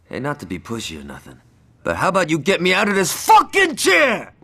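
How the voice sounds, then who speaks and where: A man's voice: fairly high pitch, huge pitch swings, brisk, vocal fry, sharp tone, a little hiss or hum, heavily acted. An actor playing a brash, hot-headed male gangster who is cocky, sarcastic and foul-mouthed. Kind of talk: video game cutscene acting.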